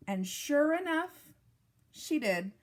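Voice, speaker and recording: A woman reading a picture book aloud to young children, with deliberate, expressive phrasing and casual asides. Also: high voice. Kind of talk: read-aloud storytime narration with casual asides.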